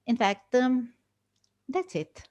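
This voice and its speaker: A woman introducing herself from her kitchen on a video call, speaking slowly with many pauses. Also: a little high, sing-song, a little mellow. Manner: slow, many fillers. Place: home kitchen.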